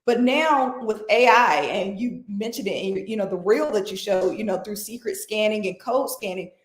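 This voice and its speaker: Animated woman guest in an echoey room. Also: mid-range voice, normal speed. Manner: animated, persuasive, conversational. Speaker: guest executive. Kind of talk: conversational interview.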